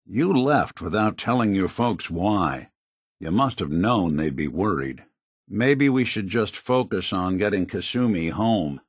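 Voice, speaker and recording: A low, husky American voice for a middle-aged synthetic private eye in a video game. He is world-weary yet principled, with a hard-boiled, earnest manner and very expressive swings in pitch. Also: measured pace, dry recording, clean studio sound, exaggerated voice. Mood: gently reproachful. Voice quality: gravelly, husky. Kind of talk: noir video game character dialogue.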